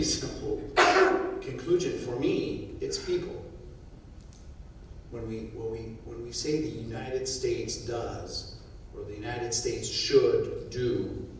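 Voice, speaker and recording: A man's voice: mid-range voice, darkish tone, distant mic, a little hiss or hum. Middle-aged man in an echoey hall. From Syria. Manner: thoughtful, with frequent restarts. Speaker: conference panelist. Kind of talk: unscripted panel talk. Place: conference hall.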